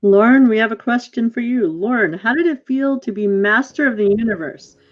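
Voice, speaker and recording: Woman moderator with dull, muffled audio. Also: normal speed. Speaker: moderator of a post-show discussion. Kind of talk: casual questioning. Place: video call. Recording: dull, low-fidelity video call.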